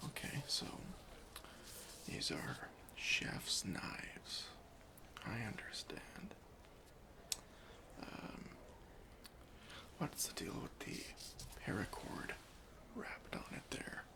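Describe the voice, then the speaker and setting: A man playing an officious but easily persuaded airport security officer in a whispered, deliberate ASMR roleplay, questioning with mock-stern politeness. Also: on the low side, wildly swooping pitch, pitched below the performer's own voice, exaggerated voice. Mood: agreeable, musing. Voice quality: whispery, breathy.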